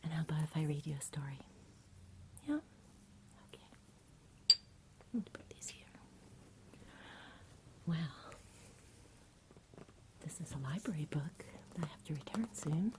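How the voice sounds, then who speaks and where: A woman speaking in a soft, soothing whisper in an ASMR bedtime roleplay, gentle and unhurried as if talking to a child. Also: low voice, expressive, noisy background. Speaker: ASMR performer playing a caregiver at bedtime.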